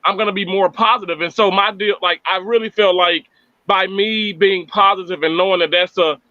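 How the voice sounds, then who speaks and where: A man's voice: high voice, fairly even intonation, brisk, sharp tone, no echo, muffled recording. A man from Mississippi chatting on a live stream from his family home, upbeat, earnest and faith-filled. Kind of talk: casual live stream chat.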